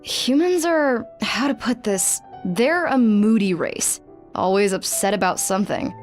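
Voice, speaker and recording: A woman plays a sardonic non-human clinic assistant in a game, at a plain middle pitch. Her delivery is dry and wry, dismissive of humans. Faint music sits underneath. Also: expressive, a little slow, natural voice. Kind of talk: video game voice acting.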